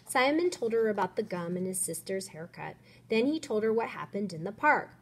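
A woman who is a schoolteacher, reading a children's picture book aloud with expressive storytelling. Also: medium pitch, brisk, slightly rough. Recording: noisy room ambience.

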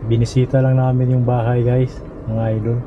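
Flat-toned Filipino man vlogging from a car. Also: medium pitch, fairly quick. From Philippines. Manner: relaxed, addresses viewers as idols, code-switches into English. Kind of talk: casual travel vlog narration. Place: inside a car on a road trip. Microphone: action camera mounted in the car. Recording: muffled camera audio with loud road noise. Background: car and road noise.

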